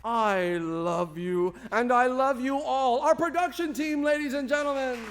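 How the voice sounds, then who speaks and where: Theatrical high-pitched man hosting a show. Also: measured pace, slight background noise. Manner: grandiose, playful, formal introductions. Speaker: host of a live radio drama show.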